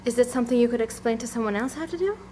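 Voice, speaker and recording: Woman acting a firm, higher-voiced young mother in charge. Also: rapid-fire, dark tone, a little hiss or hum, natural voice. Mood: concerned, probing. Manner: firm, decisive. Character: resolute, protective woman leader and young mother. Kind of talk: audio drama acting.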